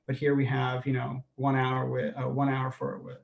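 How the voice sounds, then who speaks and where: A man's voice: mid-range voice, completely flat delivery, normal speed, vocal fry, echoey room, muffled recording. A man moderating an online panel on a video call, talking hesitantly with many fillers.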